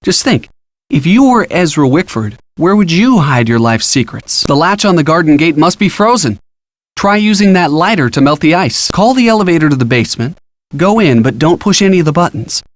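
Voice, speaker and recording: An actor playing a helpful, upbeat college-age boyfriend with an American accent, giving casual, encouraging detective hints in an adventure game. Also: male voice, fairly high pitch, sing-song, normal speed, no echo. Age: young adult. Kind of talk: scripted game hint dialogue.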